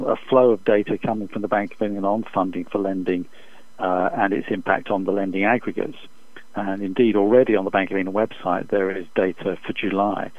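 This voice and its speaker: A man speaking as an expert economist in an interview over the phone, giving measured, formal, halting analytical answers. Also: fairly low pitch, no echo. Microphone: telephone. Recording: dull, muffled phone interview line.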